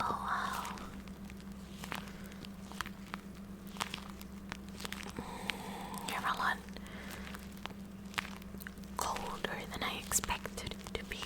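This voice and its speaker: Kooky, eager high school science club president played by a woman, nerdy and rambling, whispered ASMR roleplay, unhurried delivery. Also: natural voice, low-quality recording. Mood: curious, intent. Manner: rambling, halting, nerdy, addressing the listener directly.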